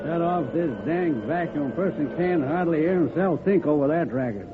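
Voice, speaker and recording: A fussy, law-abiding hotel clerk played by a man, folksy and grumbling, with a fairly high voice. It is vintage radio drama on a thin, narrow and noisy recording. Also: normal speed, close to an everyday voice. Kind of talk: 1950s radio drama acting.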